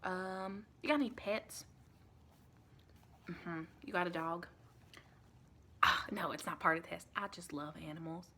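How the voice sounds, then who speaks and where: A soft-spoken woman playing a chatty, caring female vampire intake interviewer in an improvised ASMR roleplay, rambling and reassuring with pet names. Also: fairly low pitch, measured pace, pauses often, slight background noise. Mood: chatty, matter-of-fact. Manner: rambling, reassuring, endearments like honey and sweetie.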